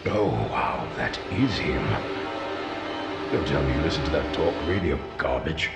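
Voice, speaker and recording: An actor playing a dry, clinical scientist in a sinister video game facility. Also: male voice, a bass voice, expressive, unhurried, vocal fry, exaggerated voice. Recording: echoing hall reverb with music behind.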